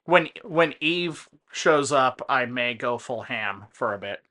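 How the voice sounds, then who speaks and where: A man giving casual, digressive commentary on a video game, explaining things in a halting way. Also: medium pitch, lively intonation, pushed and bright. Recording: faint music in the background.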